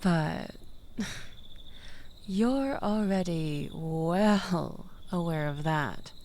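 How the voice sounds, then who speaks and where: A woman's voice: fairly low pitch, very slow, darkish tone, a little hiss or hum. A woman playing a manipulative, flirtatious ex-lover in an intimate audio roleplay, languid and teasing, coaxing the listener with theatrical pleading.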